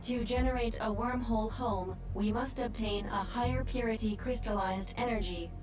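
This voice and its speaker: An actress playing a calm, analytical artificial intelligence in a video game, speaking with precise, formal, clinical wording. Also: female voice, fairly high pitch, normal speed, close to an everyday voice. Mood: clinical, explanatory. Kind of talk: video game cutscene acting. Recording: loud music, slightly distant voice.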